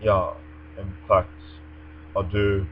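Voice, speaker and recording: A man's voice: low-pitched, completely flat delivery, slow. A young man speaking English in an articulate, formal way, as if for a personal vlog. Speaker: vlogger. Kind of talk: reading aloud and casual answers to a tag challenge. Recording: dull lo-fi microphone with mains hum.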